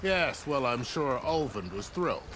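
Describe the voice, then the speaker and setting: A man's voice: medium pitch, very animated, moderate pace, fairly bright tone, slight background noise. A man playing a genial, wry army officer in a video game, courteous and fond of telling a tale. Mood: wry, cautioning.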